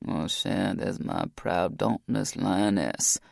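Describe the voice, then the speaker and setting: A woman voicing a loyal, tough man who speaks low, tender and resigned, in an audiobook. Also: normal speed, vocal fry, darkish tone, dry recording, studio quality, full character voice. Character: grave-voiced man, loyal tough ex-criminal.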